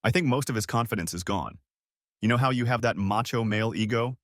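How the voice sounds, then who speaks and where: A man's voice: medium pitch, brisk, no room sound, studio quality, lightly acted. A man reading a story aloud, voicing a friendly woman neighbor who talks earnestly and with concern. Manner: earnest, caring.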